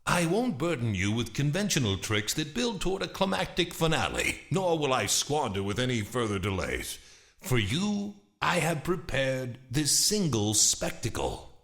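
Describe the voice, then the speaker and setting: A man's voice: medium pitch, very animated, normal speed, gravelly, fairly bright tone. A man playing a formal, grandiose and menacing stage magician in a dramatized audiobook. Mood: ominous showmanship. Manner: formal, courteous, grandiose showman. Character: theatrical, menacing stage magician, master of shadows.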